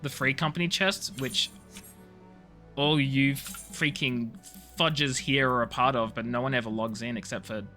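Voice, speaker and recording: A man's voice: mid-range voice, pushed and bright. A man chatting casually to viewers as a video game streamer, playful and teasing, rambling from one thought to the next.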